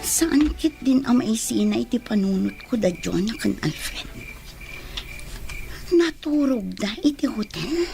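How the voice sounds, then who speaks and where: A woman's voice: on the high side, expressive, a little slow, creaky, over music. A woman acting a troubled young woman in a radio drama, murmuring anxiously to herself. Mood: brooding.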